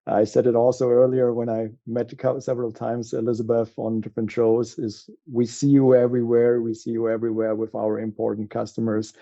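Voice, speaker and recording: A guest on a podcast, a man with a German accent, speaks with a notably dark, mellow timbre. He pauses often and restarts his sentences as he searches for words. The recording sounds slightly dull and muffled. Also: mid-range voice, level tone. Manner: halting, with frequent fillers and restarts. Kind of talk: conversational interview.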